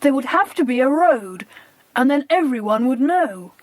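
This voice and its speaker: A woman voicing excitable, earnest children on an adventure in an audiobook. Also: high-pitched, moderate pace, dry recording. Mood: puzzled. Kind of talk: audiobook character dialogue.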